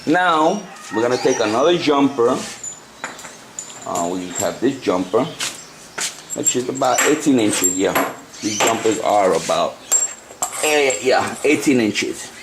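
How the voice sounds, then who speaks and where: A man giving an unscripted hands-on tutorial, talking haltingly and repeating himself as he works through the steps. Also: medium pitch, lively intonation. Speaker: hobbyist presenter of a CB radio tutorial. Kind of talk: unscripted hands-on how-to demonstration. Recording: noisy amateur home video.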